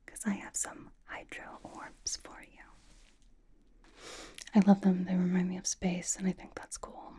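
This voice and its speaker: A woman's voice: fairly low pitch, monotone, unhurried, long pauses, warm tone, natural voice. A woman playing a playful, teasing young female K-pop idol in an ASMR roleplay, whispering casual, reassuring skincare pampering. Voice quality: breathy whisper. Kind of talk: ASMR roleplay, soft personal-attention whispering.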